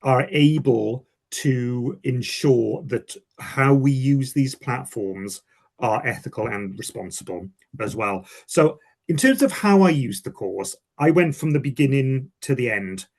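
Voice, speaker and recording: A man's voice: mid-range voice, animated, unhurried, darkish tone. A man from Wales with a Welsh accent, a communications professional giving an informal first-hand account in a reflective way, repeating and correcting himself. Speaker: communications director giving a testimonial.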